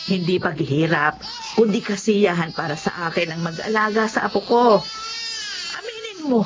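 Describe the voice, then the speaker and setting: A woman's voice: medium pitch, big pitch swings, normal speed, close to an everyday voice. A woman playing a tender, coaxing elderly grandmother in a Filipino radio drama, with a Filipino accent. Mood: fond, insistent. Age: older. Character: doting elderly grandmother soothing a crying grandchild. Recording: dull lo-fi audio with clear noise.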